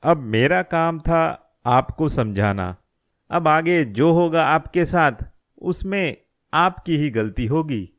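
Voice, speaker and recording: A man's voice: on the high side, expressive, slow pace. A man voicing a menacing, smug village thug in a cartoon moral story. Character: menacing village thug scheming to seize an old shop.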